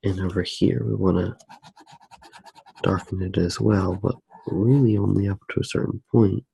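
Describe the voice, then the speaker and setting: Deep gravelly guy doing asmr art tutorial, super hushed, takes his time, pauses a lot, close mic. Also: steady tone, no room sound. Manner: hushed, unhurried, halting, with pauses mid-sentence. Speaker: ASMR artist, host. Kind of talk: ASMR drawing tutorial, narrated while working.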